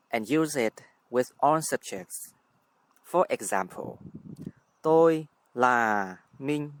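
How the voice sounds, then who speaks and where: A man from Vietnam with a Vietnamese accent, a native speaker teaching his language in English with careful, deliberate explanations. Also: medium pitch, no echo. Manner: careful, deliberate, spells out words. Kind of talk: scripted language lesson.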